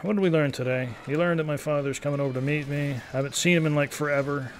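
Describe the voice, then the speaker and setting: Guy in his 30s doing chill rambly game commentary, voice a bit on the high side, some noise in the recording. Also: steady tone, quick pace, low-quality recording. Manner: easygoing, take-it-or-leave-it. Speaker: gaming YouTuber. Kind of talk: casual rambling gameplay commentary.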